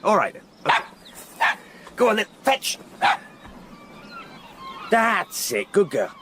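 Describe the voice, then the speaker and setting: An actor playing a courteous, earnest young English solicitor in a period gothic radio drama, well-spoken and polite. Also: male voice, high voice, wildly swooping pitch, takes it slowly, heavy background noise, heavily acted. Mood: playful. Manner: polite, well-spoken, talks fondly to a dog.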